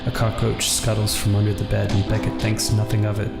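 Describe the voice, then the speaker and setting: Low, even-toned man reading noir narration. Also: a little fast, vocal fry. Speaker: gaming video host. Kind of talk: reading visual novel text aloud, noir narration. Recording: roomy echo under loud music. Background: loud game music with guitar.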